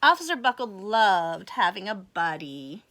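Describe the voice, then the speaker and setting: A woman reading a picture book aloud to children in a very expressive way, with asides and questions for young listeners. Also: medium pitch, measured pace, slight background noise.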